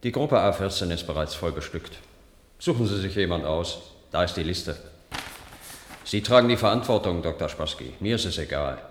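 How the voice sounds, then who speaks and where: A very low-voiced grudging warden. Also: male voice, lively intonation, normal speed, echoey room, in a large hall. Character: indifferent male institution warden, grudging bureaucrat. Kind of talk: German radio drama acting.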